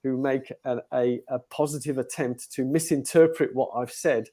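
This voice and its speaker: Mellow-voiced Italian-born man chatting. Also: mid-range voice, fairly even intonation, normal speed. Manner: rambling, many fillers and restarts. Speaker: host. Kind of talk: casual video call chat.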